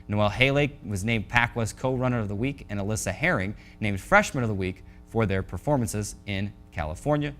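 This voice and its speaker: Low-pitched American male sports announcer. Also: a bit bright. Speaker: sports show host and play-by-play voice. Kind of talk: scripted sports recap.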